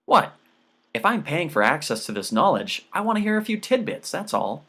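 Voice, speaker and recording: A man narrating plays a middle-aged father in an audiobook story. He is fond of his family and quick with a joke. His delivery is eager and earnest. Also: a little high, very animated, bright, forceful tone, slightly rough, pitched above the performer's own voice, exaggerated voice. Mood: playful encouraging.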